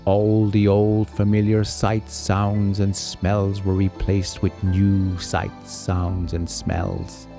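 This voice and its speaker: A man narrating a folk tale as a storyteller, with theatrical, deliberate phrasing. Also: low voice, monotone, gravelly, mellow, soft background music.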